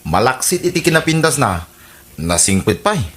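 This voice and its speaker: A man's voice: mid-range voice, sing-song, moderate pace, a bit bright. A man with an Ilocano accent playing a sheepish but stubborn young suitor in a radio comedy drama. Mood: lovestruck.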